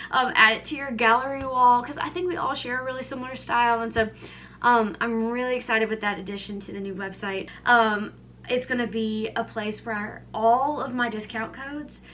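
A woman's voice: on the high side, moderate pace, pushed and bright, a little hiss or hum. A young woman talking casually and upbeat to camera in her home kitchen, full of fillers, filmed close up. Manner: chatty, upbeat, filler-heavy with lots of "like" and "um". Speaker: lifestyle vlogger. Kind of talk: casual chatty vlog. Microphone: camera close up at arm's length.

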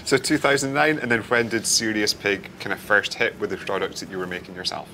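Bright-voiced male interviewer, noisy background. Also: fairly low pitch, a little fast. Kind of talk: casual interview questions. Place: indoors in a bar. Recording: clear background ambience noise.

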